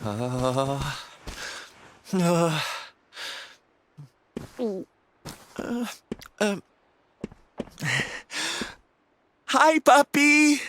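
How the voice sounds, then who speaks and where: A flamboyant young would-be knight from a video game, theatrical and sentimental. His voice sits fairly high and moves expressively at an unhurried pace. He speaks with open, dramatic emotion. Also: big pitch swings, fairly bright tone, slight background noise, exaggerated voice. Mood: nervous, apologetic. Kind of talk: video game cutscene voice acting. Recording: music behind the voice.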